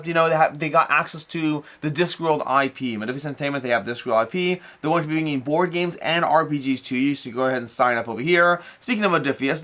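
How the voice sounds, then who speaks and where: A man's voice: a little high, sharp tone. A man hosting a hobby news show in a casual, unscripted way, rambling and often repeating or correcting himself. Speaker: host of a board game news show.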